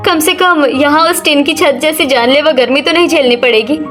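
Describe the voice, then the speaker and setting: A woman's voice: high-pitched, moderate pace, a bit bright, soft background music. A woman voicing a practical, outspoken young village daughter-in-law in a Hindi cartoon story. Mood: firm.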